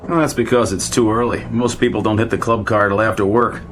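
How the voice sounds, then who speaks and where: An actor playing a friendly, folksy train bartender making easygoing small talk in an audio drama. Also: male voice, on the low side, fairly even intonation, brisk, a bit bright, a little hiss or hum, natural voice. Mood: chummy. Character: friendly, chatty club car bartender.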